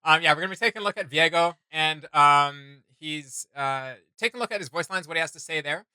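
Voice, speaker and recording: A man's voice: on the high side, a little fast, pushed and bright, clear, resonant tone, no room sound. A man hosting a reaction video in casual, slangy, joking chat.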